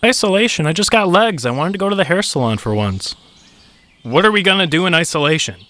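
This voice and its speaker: A man playing a bright, flighty magical youth. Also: sits high, fast talker. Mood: petulant, anxious. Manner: excitable, complaining. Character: flighty, petulant young transformed magical creature. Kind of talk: stage-style comic audio drama acting.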